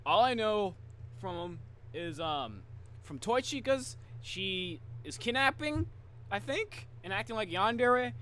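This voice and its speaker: A man's voice: sits high, sing-song, takes it slowly, a bit bright, slight background noise. A man hosting a casual livestream reaction, talking informally and often interrupting himself. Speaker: reaction video host, streamer.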